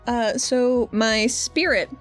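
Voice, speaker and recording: A woman's voice: a little high, slow pace, faint music behind. A woman playing on a tabletop roleplaying podcast, chatting casually and playfully and improvising what her character does. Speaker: player on a tabletop game podcast. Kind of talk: casual, playful game chat and improvised narration.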